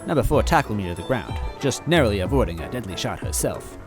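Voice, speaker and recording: A man with a low voice reads a story aloud in first person, deadpan and mock-earnest. Faint music plays beneath him. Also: fast talker. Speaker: story reader.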